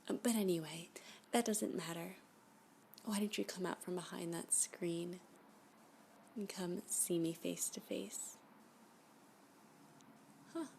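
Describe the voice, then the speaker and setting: Woman playing a smitten stalker woman, ASMR roleplay, coy, unhurried, whispery, creaky, faint background noise. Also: medium pitch, darkish tone. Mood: flirty, coaxing. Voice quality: breathy, often slipping into whisper. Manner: coy, slow, unhurried, with giggly asides. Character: flirty obsessive woman stalker, eagerly smitten with her captor.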